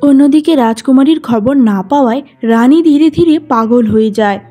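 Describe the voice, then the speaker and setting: A woman's voice: high voice, normal speed, mellow, soft background music. A woman reading scripted storybook narration for a cartoon fairy tale.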